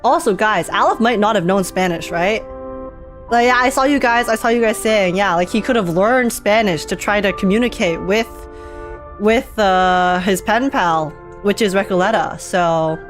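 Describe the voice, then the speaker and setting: A woman's voice: medium pitch, moderate pace, soft background music. Chatty laughing woman streamer. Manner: rambling, laughing often, many fillers. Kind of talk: live game reaction chat.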